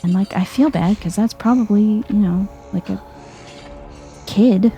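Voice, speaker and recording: A soft-spoken woman giving chill, half-whispered ASMR commentary on a video game, thinking aloud as she plays. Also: medium pitch, a little slow, faint music behind.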